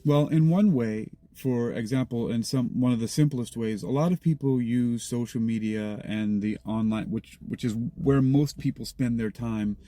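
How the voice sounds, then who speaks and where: A man answering interview questions in a conversational, deliberate, explanatory way, laying out points step by step. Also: dark tone. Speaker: interview guest, creator of audio dramas. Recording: noisy recording with vehicle-like rumble.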